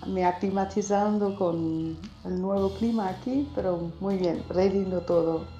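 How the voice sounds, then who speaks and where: A woman's voice: mid-range voice, fairly even intonation, a little slow, mellow, echoey room, highs cut off, faint music behind. A woman from Germany with a German accent, a guest singer-songwriter chatting in an interview, talking hesitantly as she searches for words. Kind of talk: casual interview.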